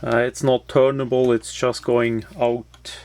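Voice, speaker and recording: Slow, flat-toned man reviewing a product. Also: mid-range voice. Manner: halting, hesitant phrasing. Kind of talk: casual unscripted product walkthrough.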